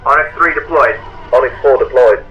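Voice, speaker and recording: A man playing a brisk, commanding starfighter squadron leader through a radio effect in an audio drama. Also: mid-range voice, sharp tone. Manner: clipped, commanding. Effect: radio comms filter. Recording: dull radio-comms sound over music.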